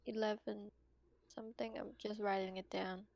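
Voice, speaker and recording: A woman's voice: medium pitch, little pitch movement, moderate pace, vocal fry, darkish tone. A woman chatting casually as a player in a tabletop game.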